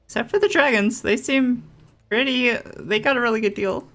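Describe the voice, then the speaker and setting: A woman doing casual, playful gameplay commentary, chatty and often halting in her asides. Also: a little high, a bit bright. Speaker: gameplay streamer. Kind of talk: casual playthrough commentary with read-aloud game text.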